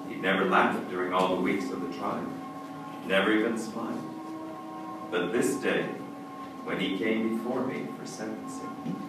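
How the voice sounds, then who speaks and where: An actor playing a stern, cold judge in old-time radio drama style, with formal, declamatory delivery. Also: male voice, fairly low pitch, steady tone, normal speed, music in the background, lightly acted. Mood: haunted. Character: stern, haunted male judge, cold and unbending. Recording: very echoey, distant, noisy stage recording.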